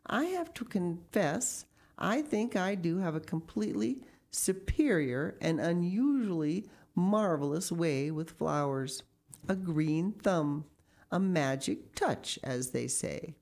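A woman's voice: lowish voice, animated, moderate pace, darkish tone. An old woman witch from a storybook, acted out by a woman reader, proud of her garden and full of joyous wonder. Her voice swoops widely and exclaims with delight. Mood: shyly proud.

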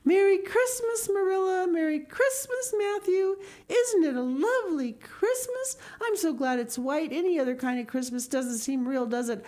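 An excitable young storybook girl, acted out by a woman. Her voice sits high and lifts with each gushing exclamation. She is earnest and dramatic, pouring out her feelings in rapture. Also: moderate pace, few pauses. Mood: joyful.

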